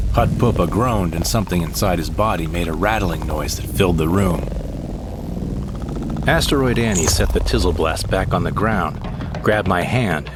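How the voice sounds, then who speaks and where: A man narrating a first-person audio drama in a wry, unhurried manner. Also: low-pitched, background noise.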